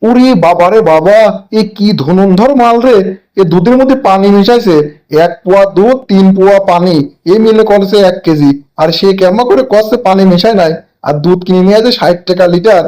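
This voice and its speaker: A man's voice: high-pitched, brisk, dark tone, lightly acted, rough recording. A man voicing a cheeky, indignant older devil in a comic cartoon, ranting in colloquial exclamations. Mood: amazed, indignant.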